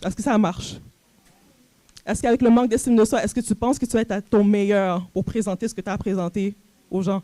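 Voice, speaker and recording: A woman's voice: medium pitch, steady tone, brisk, warm tone, dry recording. A woman from Montreal with a Quebec accent, speaking as a coach in an informal motivational talk, conversational and full of rhetorical questions. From Montreal, Canada. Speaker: personal image coach and speaker.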